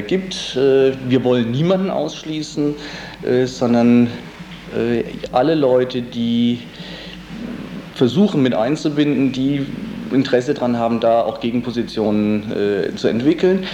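A man gives a spontaneous interview as an organizer, feeling his way through sentences with frequent hesitations. His voice is dark and relaxed, at an average pitch. The room echoes around him and there is faint noise behind. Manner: halting, searching for words, many hesitations.

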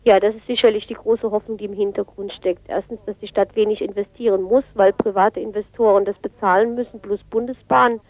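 A woman's voice: medium pitch, fairly even intonation, brisk, few pauses. A woman on a narrow, phone-like line. She explains her position off the cuff as an interviewed local politician, in an ordinary mid-range voice. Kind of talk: radio interview, spontaneous explanation.

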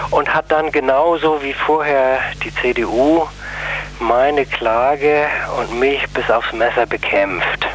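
A man giving a radio interview, explaining his case in a deliberate, hesitant manner. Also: mid-range voice, a bit bright, slight background noise, poor audio. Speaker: interview guest, anti-nuclear plaintiff.

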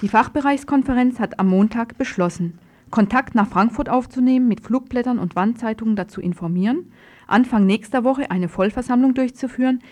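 A woman's voice: a little high, a little fast, darkish tone. A woman radio presenter reading a scripted political news report.

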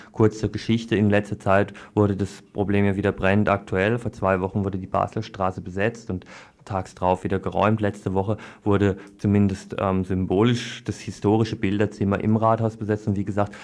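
A man with a low voice hosts a local radio news interview. His delivery is flat in melody, with informal, slightly hesitant phrasing as he reports and puts questions.

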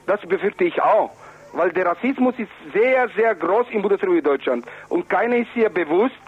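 A man with a high, raspy voice makes an insistent political appeal as a non-native speaker from the former Yugoslavia. He circles back to his points with pleading emphasis. The sound is thin and phone-like. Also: expressive, normal speed. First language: not German. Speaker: Roma activist and interviewee. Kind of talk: impassioned political radio interview. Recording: dull, narrow phone-like radio sound.

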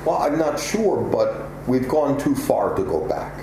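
A man giving measured, articulate and emphatic answers as an interview guest. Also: medium pitch, very animated. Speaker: interview guest, political analyst. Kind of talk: answering interview questions at length. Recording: echoey room with loud ambient noise.

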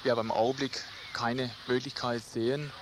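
A man giving a spoken interview statement as a staff representative, recorded with clear noise. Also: mid-range voice, flat delivery, fairly quick. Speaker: staff council chairman. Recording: clearly noisy background.

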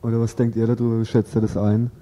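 A man hosting an unscripted radio interview, talking informally and a little haltingly as he presents and asks questions. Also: lowish voice, monotone, dark tone.

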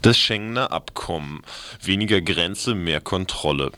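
A man's voice: sits low, lively intonation, moderate pace, background noise. A man reading scripted material as a radio presenter and newsreader. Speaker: radio presenter and news reader.

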